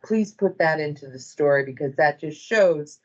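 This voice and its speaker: Low female voice, an organization leader giving informal thanks at a staff ceremony, halting delivery, dull recording. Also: lively intonation. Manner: halting, appreciative, addressing a group. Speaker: medical center director presenting awards. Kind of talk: informal award presentation speech.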